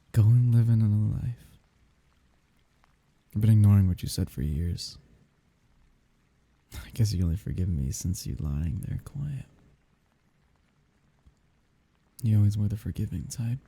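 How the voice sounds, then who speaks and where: A man performs a mourning male lover in an intimate ASMR roleplay, saying goodbye at a graveside. The voice is very dark and soft, heavily breathy and husky, with a creaky edge. He speaks haltingly and tenderly, often sinking toward a whisper. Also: fairly low pitch, no echo, exaggerated voice. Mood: subdued, pleading. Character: grieving man saying farewell at his dead lover's grave.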